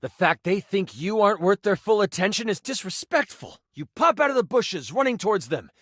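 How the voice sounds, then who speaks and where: A man's voice: sits high, sing-song, a little fast, a bit bright, dry recording, studio quality, exaggerated voice. A man playing a playful game master, narrating a fantasy tabletop adventure in a theatrical, dramatic storytelling style. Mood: suspenseful. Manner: theatrical, second-person storytelling.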